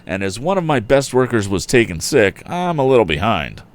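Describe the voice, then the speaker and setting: A man's voice: medium pitch, very animated, moderate pace, voice pushed up, natural voice. A man voicing an apologetic, chatty machine shop owner in a storybook, explaining himself in a folksy way.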